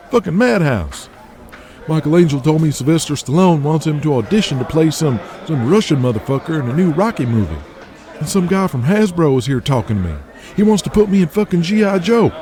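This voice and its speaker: Excitable, higher-voiced 1980s wrestler. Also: male voice. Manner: slangy, boisterous. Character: excitable, foul-mouthed male 1980s pro wrestler. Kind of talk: audio drama acting. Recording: locker-room crowd noise behind.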